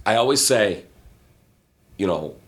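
A man with a hoarse, raspy voice co-hosting a casual podcast, giving emphatic, opinionated takes. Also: on the low side, lively intonation, takes it slowly. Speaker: podcast co-host. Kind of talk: casual wrestling podcast chat.

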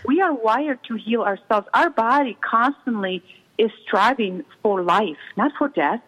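A middle-aged Czech woman with a Czech accent, speaking earnestly and haltingly as a guest in a conversational interview. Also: a little high, expressive, a bit bright, poor audio. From Czech Republic. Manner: earnest, halting, repeats phrases for emphasis. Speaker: interview guest, intuition healer.

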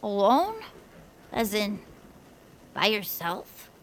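A quirky, theatrical young girl from an audio drama. She speaks over-formally in a creaky, animated voice, with clear noise behind her. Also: mid-range voice, drawn-out words, exaggerated voice. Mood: wounded. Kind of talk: audio fiction acting.

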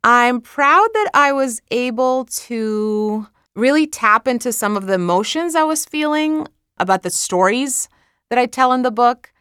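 A woman's voice: fairly high pitch, animated, measured pace, fairly bright tone, clean tone, no echo, studio quality. A woman from Croatia with a Croatian accent, an author chatting casually and laughing at her own slips while talking about recording her audiobook.

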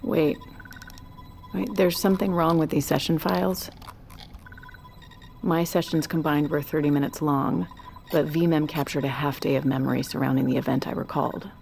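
Blunt female scientist, very low pitch, terse, demanding, faint room noise, audio drama. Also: fairly even intonation, normal speed, gravelly, a little mellow. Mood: suspicious, agitated. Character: driven, blunt female scientist, increasingly alarmed.

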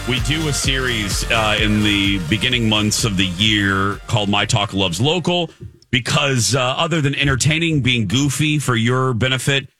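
Male morning radio host, bright voice, teasing casual banter, studio setting. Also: mid-range voice, moderate pace, faint music behind.

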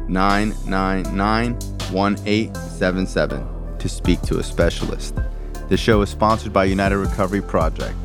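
A man in his thirties hosting a podcast, chatty and relaxed, often humming agreement while his guest talks. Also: low voice. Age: 30s. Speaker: podcast host. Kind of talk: casual podcast interview.

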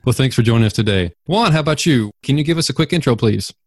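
A man co-hosting a podcast, opening the show and politely introducing each guest in a measured way. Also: mid-range voice, expressive, darkish tone, no echo, clean studio sound. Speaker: podcast co-host.

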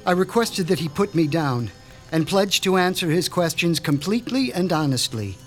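A man's voice: on the high side, animated, faint music behind, lightly acted. A man playing a menacing mad doctor. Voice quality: measured, menacing, pompous. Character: man, a sinister mad scientist doctor seeking revenge. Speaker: Dr. Stein. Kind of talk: radio drama acting.

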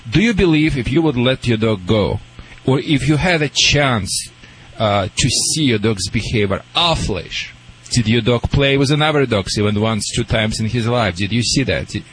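A man's voice: medium pitch, normal speed, hardly any pauses, slightly rough, poor audio. A man with a Russian accent, speaking in broken English as a dog behavior expert taking calls on a radio show. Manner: animated, broken English, laughs easily. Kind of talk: radio call-in advice. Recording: broadcast radio studio.

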